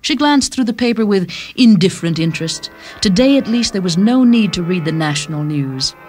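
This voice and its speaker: A woman's voice: mid-range voice, lively intonation, brisk, a little mellow. Woman narrating a story over music. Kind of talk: dramatic scripted narration of a short story. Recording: music bed behind the voice.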